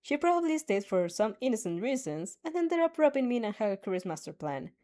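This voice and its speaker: A woman's voice: a little high, sing-song, fairly quick, warm tone. A young woman reading a fan story aloud, often giggling and laughing as she goes. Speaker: fan audio reader.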